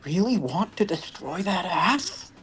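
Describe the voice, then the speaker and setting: A woman with a fairly low voice banters in a casual group show. She is teasing and playful, often dropping into mock quotations, with faint music behind her. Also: sing-song, measured pace, breathy edge. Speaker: co-host of a fan fiction reading show.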